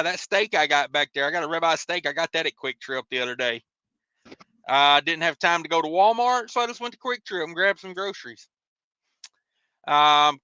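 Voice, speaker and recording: A man's voice: on the high side, bright, forceful tone, clear, resonant tone, dry recording, dull audio. An American man hosting a casual livestream, reading out viewer comments and replying in a folksy, rambling, colloquial way. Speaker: livestream host, truck driver.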